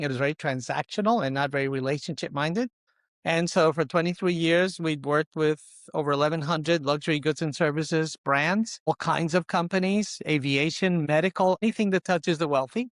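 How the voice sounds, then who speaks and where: Higher-voiced older man, deliberate phrasing. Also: no echo, clean studio sound. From born in Colombia, immigrated as a small boy. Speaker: podcast guest, luxury consultant and investor. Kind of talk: conversational interview answers.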